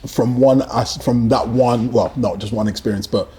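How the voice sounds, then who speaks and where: A man's voice: mid-range voice, fairly even intonation, normal speed, mellow, slightly rough. A man hosting a podcast discussion, asking questions and rephrasing them as he talks. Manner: probing, rephrases questions while speaking. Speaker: podcast host. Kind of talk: casual discussion.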